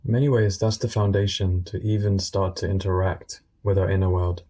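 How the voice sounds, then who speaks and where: A man guiding a meditation in a gentle, unscripted way, speaking informally and soothingly in short phrases with pauses. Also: low voice, level tone. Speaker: meditation guide.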